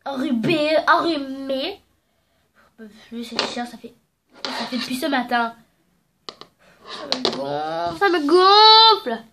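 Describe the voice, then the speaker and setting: High-voiced young woman with a cold. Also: animated, takes it slowly, vocal fry, long pauses, very noisy. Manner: chatty, rambling, playful. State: stuffy nose from a cold. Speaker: craft vlogger. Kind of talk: casual craft tutorial. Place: at home indoors. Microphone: phone camera.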